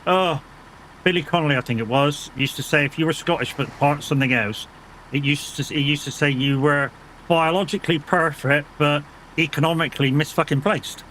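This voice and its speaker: A British man streaming a game from home, chatting loosely with his viewers in a bright, forceful voice. He's reassuring and easygoing, drops the odd swear word and laughs often. A faint engine hum sits behind him. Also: fairly high pitch, brisk, poor audio. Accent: British English. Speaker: gaming streamer. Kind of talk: casual live-stream chat with viewers.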